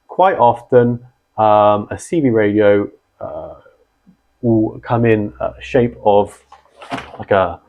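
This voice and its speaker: A man hosting a channel, explaining gear in a relaxed conversational way full of ums and restarts. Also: lowish voice. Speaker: channel host and founder of a radio community. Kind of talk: casual explainer talk.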